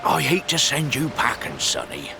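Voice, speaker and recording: A man's voice: mid-range voice, huge pitch swings, moderate pace, vocal fry. An actor voicing a kindly, wary countryman in a video game, with a folksy way of talking. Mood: kindly, resigned. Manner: folksy, colloquial, muttering asides. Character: kindly, wary rustic countryman warning a youngster. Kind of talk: video game character acting. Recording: orchestral game music behind. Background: game music.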